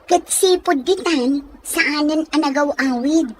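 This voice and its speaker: A woman's voice: high-pitched, slow pace, slight background noise. A woman with an Ilocano Filipino accent playing an outspoken, teasing village best friend in an audio drama, blunt and prodding. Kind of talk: audio comedy-drama acting.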